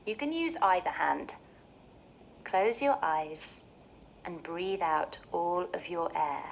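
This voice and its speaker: Soothing lady doing a kids breathing exercise, really slow, bit of background noise. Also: fairly low pitch, creaky. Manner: soothing, measured instruction. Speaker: children's mindfulness instructor. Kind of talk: guided breathing exercise for children.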